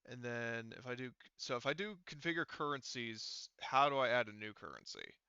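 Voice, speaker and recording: A man chatting casually as a game master, informal and sweary, thinking aloud as he troubleshoots software over voice chat. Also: mid-range voice, sing-song, normal speed, clear, resonant tone, no room sound, dull audio. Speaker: game master of an online tabletop roleplaying game.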